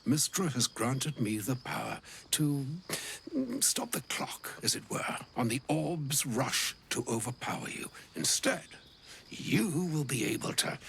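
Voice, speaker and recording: An ancient wizard from a fantasy game, fussy, grand and fond of florid words. His voice is high for a man and creaks with age, swinging widely as he talks. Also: animated, a bit bright, a little hiss or hum, full character voice. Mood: grave, solemn. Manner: pompous, florid, wordy, halting when uneasy. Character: ancient, gluttonous, grandiloquent old wizard, grave yet fussy. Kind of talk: theatrical video game voice acting.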